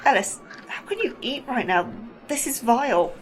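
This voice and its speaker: An actress playing an anxious, sarcastic junior scientist in a sci-fi horror audio drama. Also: female voice, a little high, very animated, normal speed, slight background noise, low-quality recording. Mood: unsettled, questioning. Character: anxious, sardonic young female scientist on probation. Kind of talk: audio fiction acting.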